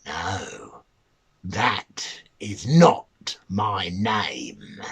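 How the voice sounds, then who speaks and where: A man voicing a gloating, spiteful little mannikin in a storybook reading, with slow, drawn-out, menacing delivery. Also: low-pitched, very animated, gravelly, fairly bright tone, husky, pitched below the performer's own voice, exaggerated voice. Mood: smug, menacing.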